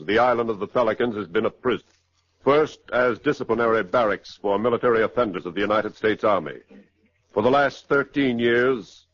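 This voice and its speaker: A man narrating dramatically in 1940s radio style, measured and emphatic. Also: medium pitch, dry recording. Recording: dry, dull 1940s radio recording.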